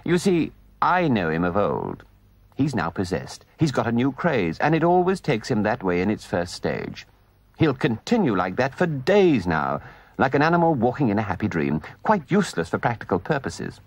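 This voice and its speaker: A man's voice: medium pitch, sing-song, moderate pace, dry recording. A man voicing a stern, hot-tempered male water rat who speaks firmly and indignantly, as part of a storybook reading. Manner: indignant, firm.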